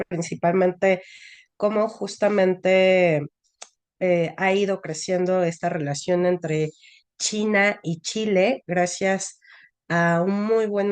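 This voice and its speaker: A woman moderates an academic online seminar. She speaks very slowly in a somewhat low voice, pausing often on "eh" and "este". The sound is dry and close, with no room echo. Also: darkish tone. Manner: unhurried, hesitant with "eh" and "este" fillers. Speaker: session moderator.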